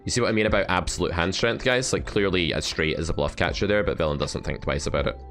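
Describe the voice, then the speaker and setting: A man's voice: low-pitched, fast, a bit bright, soft background music. A man coaching poker with live play-and-explain commentary, talking in a blunt, wry, sardonic way.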